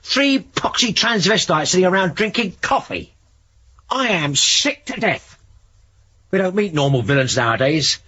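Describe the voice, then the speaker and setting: An actor playing a blunt, disgruntled male British detective inspector with a husky voice, in a 1970s radio drama. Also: high-pitched, expressive, a little slow, sharp tone, exaggerated voice. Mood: disgusted. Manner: blunt, grumbling. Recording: dull 1970s radio recording.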